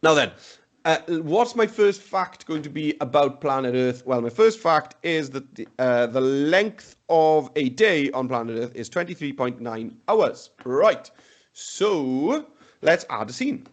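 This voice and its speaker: Upbeat man demoing software. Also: fairly high pitch, lively intonation, measured pace. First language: Welsh. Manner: upbeat, chatty, thinks aloud. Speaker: webinar presenter. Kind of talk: live software demonstration. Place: indoor room, on a video call.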